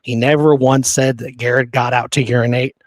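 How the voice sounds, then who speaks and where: A man's voice: mid-range voice, little pitch movement, fairly quick, no echo. A man co-hosting a conversational history discussion, repeating points for emphasis. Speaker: podcast co-host. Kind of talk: conversational historical discussion, sometimes reading quotes.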